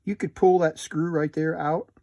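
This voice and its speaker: A man hosting a casual hands-on repair video, unhurried and murmuring to himself as he works. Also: a little high, steady tone, creaky, warm tone, no echo, studio quality. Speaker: host of a repair video channel.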